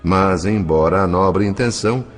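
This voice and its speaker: A man reading scripted literary narration as an audiobook narrator, in a measured, formal storytelling manner. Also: low-pitched, soft background music.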